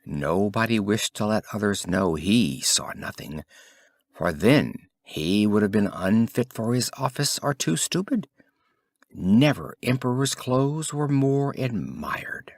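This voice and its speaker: A man reading a scripted fairy tale as an audiobook narrator, in an expressive, theatrical storytelling manner. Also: on the low side, slow, no room sound.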